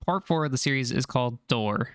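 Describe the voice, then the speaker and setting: A man works as a video narrator, giving explainer commentary on horror videos. His voice sits at an ordinary middle pitch, recorded close and dry with no room sound. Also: lively intonation, fairly quick, a bit bright, no room sound.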